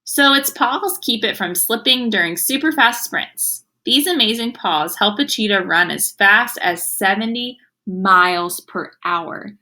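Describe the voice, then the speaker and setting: Bright-voiced woman teaching young children. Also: medium pitch, animated, a little slow, few pauses. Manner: instructive, addressing young children, sounding out words. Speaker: elementary school teacher. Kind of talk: children's read-aloud reading lesson.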